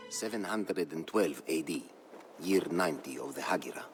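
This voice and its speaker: An actor playing a proud, solemn Berber chronicler, narrating a video game campaign in a grave, measured, formal manner. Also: male voice, rumbling low, expressive. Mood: solemn, awed. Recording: studio voice-over with music behind.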